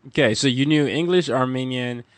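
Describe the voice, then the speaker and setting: A man's voice: mid-range voice, fairly quick, no echo. A man from Nigeria interviewing a guest, encouraging and friendly, often restarting his words. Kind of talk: casual conversational interview.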